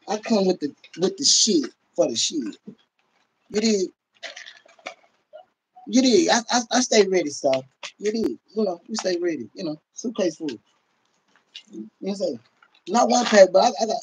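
A man's voice: high voice, slight background noise, low-quality recording. A middle-aged American man on a casual livestream, talking bluntly and profanely with emphatic repetition, recorded on a phone at arm's length in a room at home. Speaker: livestream host. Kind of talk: casual livestream rant to viewers. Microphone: phone or webcam at arm's length.

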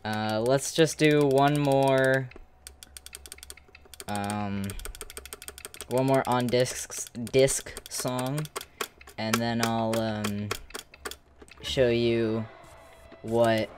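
Slow-talking man commentating on a game. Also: mid-range voice, steady tone, background noise. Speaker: gaming streamer and commentator. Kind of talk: casual gameplay commentary. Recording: game music behind the voice.

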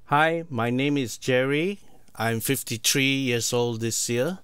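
A man in his fifties from Singapore giving a measured, halting self-introduction as a recorded sample. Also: mid-range voice, a bit bright, smooth, no echo. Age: 50s. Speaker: demo speaker.

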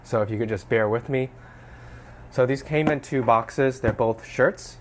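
A young man with a slightly hoarse voice from a cold, relaxed and explanatory as he narrates an unboxing at home. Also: mid-range voice, unhurried, background noise. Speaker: fashion vlogger. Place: small room indoors at home.

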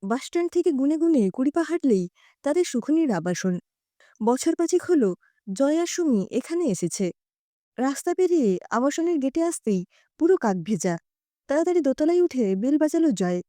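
A woman storyteller reads a scripted tale expressively. Her voice is high and mellow, with very lively intonation. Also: normal speed, no echo, studio quality.

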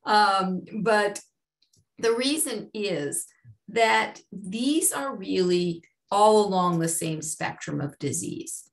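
A middle-aged woman with a Boston accent, presenting a medical webinar over a video call in a measured, explanatory way. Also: mid-range voice, animated. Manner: measured, explanatory, slow and deliberate. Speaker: chief medical officer. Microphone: webcam on a video call.

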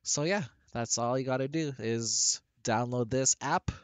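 A man with a deep voice, talking through a casual software tutorial on a headset microphone and often restarting his sentences. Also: a little slow, no room sound. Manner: rambling with frequent restarts and fillers. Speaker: tech tutorial creator.

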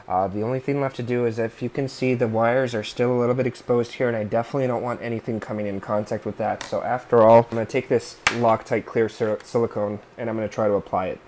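A man's voice: mid-range voice, background noise. A man in his thirties talking casually through a hands-on repair tutorial at home, thinking aloud with fillers and little asides. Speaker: DIY video host. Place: indoor living room, seated at a workbench board.

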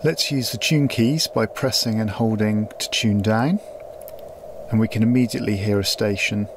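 A man's voice: lowish voice, normal speed, noisy background. A man reviewing a small radio at a tabletop, explaining each step methodically with frequent filler sounds. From United Kingdom. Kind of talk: hands-on product demonstration. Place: indoors at a tabletop.

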